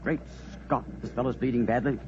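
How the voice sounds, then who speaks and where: An actor playing a brisk, excitable gentleman in 1940s radio style. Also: mid-range voice, natural voice. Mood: alarmed. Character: brisk, startled English gentleman, titled plantation owner. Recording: dull, faintly noisy 1940s radio recording.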